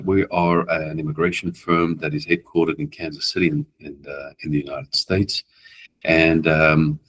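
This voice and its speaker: A man, an attorney whose first language is Afrikaans, hosting a legal webinar and speaking haltingly with many fillers. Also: extremely low pitch, a little hiss or hum. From South Africa. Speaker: immigration attorney, webinar host. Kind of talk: webinar presentation.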